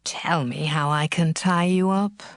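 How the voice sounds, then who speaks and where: A woman's voice: deep voice, fairly even intonation, a little slow, no echo, clean studio sound. An actress playing a coaxing, scheming seductress in a Bible audio drama, drawing out her words.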